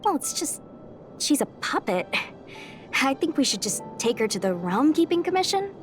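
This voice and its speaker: A woman's voice: high-pitched, sing-song, normal speed. An actress playing an eager, earnest and polite young female knight in a video game, a little hesitant and apologetic. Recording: game music behind the voice.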